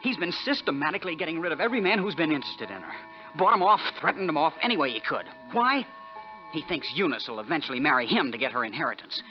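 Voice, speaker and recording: A man's voice: fairly high pitch, very animated, quick pace. An actor playing a bitter, hot-headed young man, urgent and vehement, in 1940s radio style. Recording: muffled 1940s radio recording, music.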